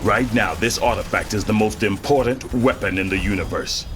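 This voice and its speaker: An actor playing a dignified, composed military general in a video game, speaking formally and in a measured manner. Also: male voice, fairly low pitch, noisy background, lightly acted. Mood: grave, briefing. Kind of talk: video game cutscene acting.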